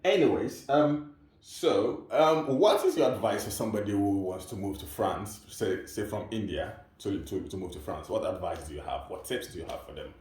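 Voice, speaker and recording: A man's voice: lowish voice, animated, normal speed, a little mellow. A man hosting an interview, asking questions in a friendly, casual way. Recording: echoey room.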